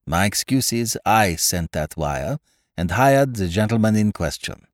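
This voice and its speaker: A man's voice: lowish voice, expressive, measured pace, dry recording, clean studio sound. A man voicing a small, cheerful, self-assured detective with a French accent and courtly, emphatic phrasing in an audiobook. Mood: placid, cheerful.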